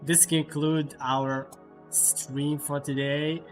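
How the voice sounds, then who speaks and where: A man hosting a game livestream with casual, halting commentary, often repeating himself. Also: a little high, flat delivery, faint music behind. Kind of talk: casual live gameplay commentary.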